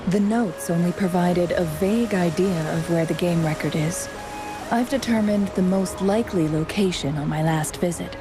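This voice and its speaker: A woman voicing a composed, courteous young strategist in a fantasy game, speaking in measured, formal phrases. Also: on the low side, noisy background, close to an everyday voice. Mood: calm, purposeful. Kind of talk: scripted video game dialogue.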